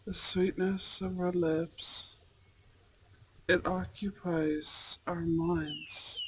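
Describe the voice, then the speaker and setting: A performer voicing a possessive, suave young man in an intimate ASMR romance roleplay, speaking in formal, deliberate phrases. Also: medium pitch, a little hiss or hum. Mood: smooth, possessive. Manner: slow, formal, deliberate phrasing, velvety menace. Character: possessive, suave young man, elite school bad boy boyfriend. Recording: clear background insect and nature ambience. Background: crickets and outdoor nature sounds.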